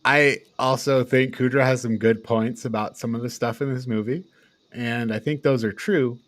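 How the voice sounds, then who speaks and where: A man's voice: medium pitch, steady tone, normal speed, dry recording. A man co-hosting a casual film review chat, informal and given to swearing and laughing. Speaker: podcast co-host.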